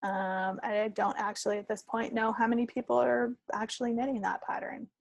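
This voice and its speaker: A woman's voice: on the high side, little pitch movement, brisk, gravelly. An American woman chatting casually as a podcast co-host. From United States. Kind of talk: casual chat.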